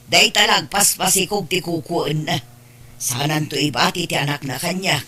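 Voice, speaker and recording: Older ilocano auntie in a radio drama, gossipy n tearful, sharp forceful voice. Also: female voice, on the low side. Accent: Filipino, Ilocano. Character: older provincial aunt, tearful, gossipy, apologetic.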